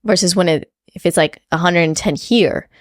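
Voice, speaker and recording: Woman, podcast co-host, stuffy cold voice, lowish pitch, dry close mic, faint music. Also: normal speed, no echo. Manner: chatty, many likes and restarts. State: sniffly with a cold. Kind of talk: casual chat.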